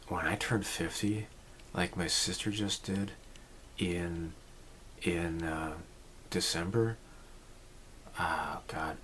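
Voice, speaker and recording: Slow, low-voiced man musing in his forties. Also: a bit bright, a little hiss or hum. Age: 40s. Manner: rambling, reflective, many fillers and restarts. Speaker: channel host. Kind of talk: casual personal vlog talk.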